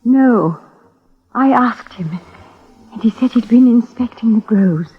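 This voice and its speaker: An actress playing an ailing, bitter, proud planter's wife in formal, measured 1950s radio drama style. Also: female voice, a little high, animated, breathy edge, full character voice. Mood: weary, resentful. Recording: muffled tinny old radio broadcast.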